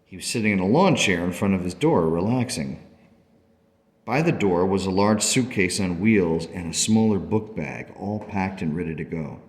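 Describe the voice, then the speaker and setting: A man's voice: sits low, animated, moderate pace. An actor playing an earnest, grateful father in a radio play, telling his story emphatically and emotionally. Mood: reflective, mystified. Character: earnest, grateful father of a young daughter, searching for answers. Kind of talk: dramatic first-person storytelling. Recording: long reverberant hall echo.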